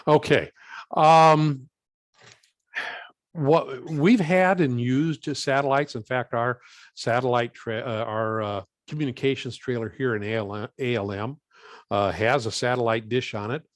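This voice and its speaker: A man speaking as a guest presenter, answering questions informally in a plain-spoken, halting, step-by-step way. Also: mid-range voice, steady tone, no echo, studio quality. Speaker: guest presenter and volunteer coordinator.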